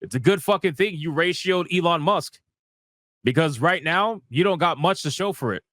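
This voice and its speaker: A man's voice: on the high side, lively intonation, normal speed, a bit bright, no room sound, studio quality. A man giving casual, sweary, slangy commentary as a livestream host, emphatic and mocking, repeating phrases for effect. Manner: sarcastic, mocking. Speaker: host of a gaming news livestream.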